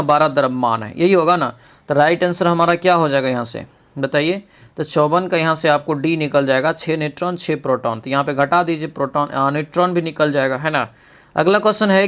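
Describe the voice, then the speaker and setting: A male coaching teacher with a smooth, clear voice, pitched a little high for a man. He works through quiz questions in an instructional way, asking rhetorical questions and checking in often before giving each answer. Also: a bit bright. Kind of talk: practice quiz lesson, reading questions and explaining answers.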